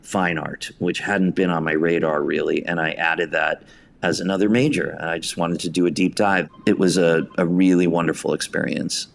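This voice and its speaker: A man's voice: low voice, fairly even intonation, moderate pace, creaky, slight background noise. A man being interviewed as a theatre director, telling stories conversationally and acting out bits of dialogue. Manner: anecdotal, hesitant phrasing, acts out quotes.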